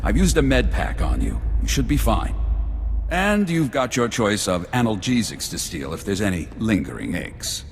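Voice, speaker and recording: An actor playing a brusque, grumbling battlefield doctor in a video game, with a wry manner. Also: male voice, on the low side, sing-song, normal speed, slight background noise. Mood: brisk, wry. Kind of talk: video game cutscene acting. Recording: reverberant hall, faint music.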